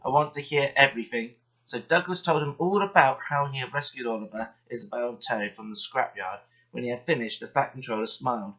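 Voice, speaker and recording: A man reading a children's bedtime story aloud in an expressive, steady manner. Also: medium pitch, brisk, muffled recording.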